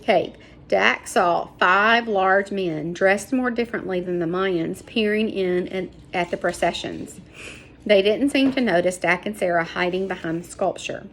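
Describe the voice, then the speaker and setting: A woman reading a children's novel aloud as an expressive storyteller. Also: on the low side, a little hiss or hum, low-quality recording. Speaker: storybook reader. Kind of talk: scripted narration, children's book read aloud.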